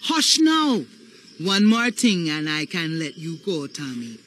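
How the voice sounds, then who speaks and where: An actress playing a sly older Haitian voodoo matriarch with a Caribbean accent, coaxing and ominous in a video game. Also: female voice, low-pitched, big pitch swings, slow, fairly bright tone, full character voice. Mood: commanding, sly. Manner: coaxing, ominous, dialect phrasing. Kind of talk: video game cutscene acting.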